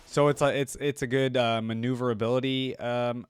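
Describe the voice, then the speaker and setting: A man's voice: medium pitch, fairly even intonation, brisk, a little hiss or hum. A man giving casual, off-the-cuff reaction commentary as a video creator.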